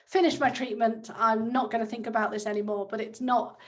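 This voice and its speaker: A woman in her thirties from England, hosting a round table discussion in a friendly, measured way with some fillers. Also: fairly high pitch, fairly even intonation. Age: 30s. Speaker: discussion host.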